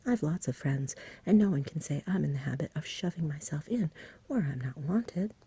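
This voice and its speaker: Woman whispering as a self-assured storybook boy, breathy. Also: low-pitched, animated, normal speed, mellow, no echo, heavily acted. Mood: weary. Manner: matter-of-fact, self-assured. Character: plain-spoken, weary, polite young country boy. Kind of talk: soft-spoken ASMR storybook reading.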